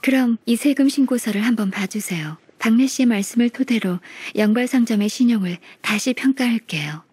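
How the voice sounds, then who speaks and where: An actress playing a composed, shrewd senior secretary in a video game, speaking politely and in a measured, matter-of-fact way. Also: female voice, a little high, darkish tone, breathy edge, no room sound. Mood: coolly coaxing. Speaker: game character voice actor. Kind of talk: video game story voice acting.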